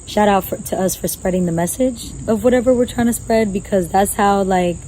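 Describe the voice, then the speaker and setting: A young woman guest on a podcast, talking loudly and casually with lots of slang and "you know what I'm saying.". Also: medium pitch, fairly quick, a little hiss or hum, rough recording. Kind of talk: casual conversational interview. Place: outdoor gazebo with crickets.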